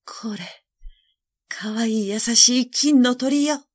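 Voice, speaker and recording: A woman's voice: a little high, slow pace, pitched above the performer's own voice. A woman voicing a dying old emperor in a storybook, imperious and desperate, crying out in old-fashioned speech. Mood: pleading.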